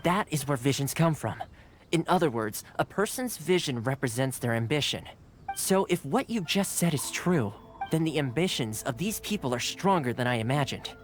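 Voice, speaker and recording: A man's voice: mid-range voice, animated, normal speed, natural voice. Game character: courteous young male retainer, played by a man, formal and articulate, composed with a playful streak, music underneath. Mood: thoughtful. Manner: polite, formal, articulate phrasing. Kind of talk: scripted game cutscene dialogue. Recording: music behind the dialogue.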